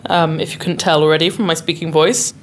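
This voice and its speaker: Deep-voiced young British woman. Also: fairly even intonation, quick pace. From United Kingdom. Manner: chatty, bubbly, uses "like" and "kind of". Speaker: mezzo-soprano. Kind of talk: interview. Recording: faint background noise.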